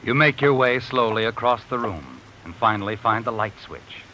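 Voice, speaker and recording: An actor playing an eerie, all-knowing narrator in a 1950s radio suspense drama, telling the story ominously in the second person. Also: male voice, medium pitch, animated, moderate pace, background noise, natural voice. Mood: ominous, quiet. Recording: vintage radio recording, rain and music behind.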